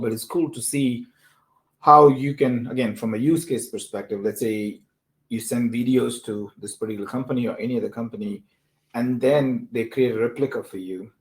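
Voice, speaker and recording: Guy hosting a tech and business chat, medium pitch, talks things through casually, explains as he goes. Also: a little mellow. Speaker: host of a tech discussion show. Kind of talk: casual discussion of technology and business use cases.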